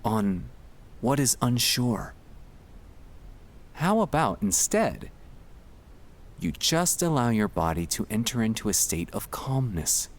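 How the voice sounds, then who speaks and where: A man speaking in a gentle, soothing and reassuring way, guiding relaxation in an ASMR style with pauses between short phrases. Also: medium pitch, big pitch swings. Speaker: ASMR sleep-aid performer.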